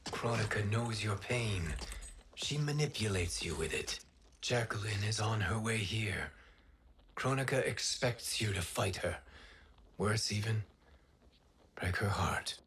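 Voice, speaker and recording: An actor playing a calm, noble warrior god in a video game cutscene, speaking formally and earnestly with solemn compassion. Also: male voice, on the low side, measured pace, long pauses, slightly rough, full character voice.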